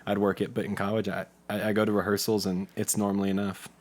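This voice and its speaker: A man's voice: on the low side, level tone, fast talker. A young man talking casually as an interview guest, with a joking, self-deprecating manner. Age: young adult. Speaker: interview guest, community theatre actor. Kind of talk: casual chat.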